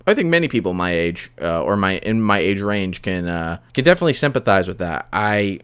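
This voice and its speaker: A man's voice: deep voice, sing-song, a little fast, a bit bright, clean tone. A man hosting a podcast, talking off the cuff in a hesitant, rambling way and often repeating himself as he restarts phrases. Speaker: podcast host. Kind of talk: unscripted solo podcast monologue.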